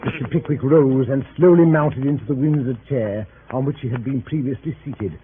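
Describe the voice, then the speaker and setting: A man's voice: medium pitch, normal speed, lightly acted. An actor playing a genteel club member who narrates his travels with mock reverence, in 1930s radio drama style. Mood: mock-reverent. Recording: tinny 1930s radio broadcast with faint music.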